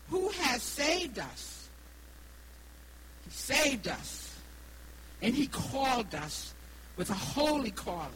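Older New England woman preaching, noisy recording. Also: fairly high pitch. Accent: New England American. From Rhode Island, United States. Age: 60s. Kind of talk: evangelical sermon with personal testimony. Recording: noisy live recording with hum.

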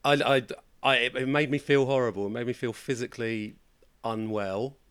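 A man hosting a horror podcast, his voice croaky and hoarse, with dry self-mocking humor. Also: medium pitch, little pitch movement, moderate pace, a bit bright. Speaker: podcast host. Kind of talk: casual horror podcast chat.

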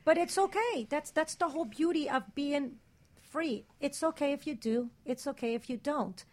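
A brash, emphatic woman in slangy, casual talk on a radio panel. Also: fairly high pitch.

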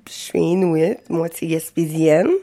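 Woman, thirties, Quebec French accent, halting, simple phrasing, creaky. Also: sits low, fairly even intonation, warm tone. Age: 30s. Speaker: interviewee, craftswoman. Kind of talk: personal storytelling.

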